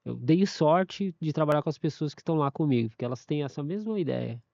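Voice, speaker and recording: A man's voice: a little high, animated, fast talker, vocal fry, dark tone, dry recording, highs cut off. A man, a university staff member giving a casual testimony, often stumbling, restarting and repeating words.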